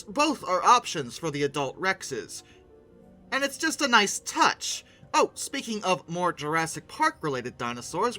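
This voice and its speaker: Male commentator, swooping lively delivery and theatrical emphasis, very sharp forward tone, music underneath. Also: sits high. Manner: animated, playful, theatrical emphasis. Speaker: video host, game reviewer. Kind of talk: conversational video essay commentary.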